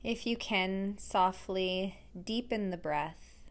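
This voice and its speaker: Woman doing a guided meditation, real slow and gentle, normal pitch, leaves lots of space between lines. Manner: gentle, unhurried, with long pauses. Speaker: yoga and meditation instructor.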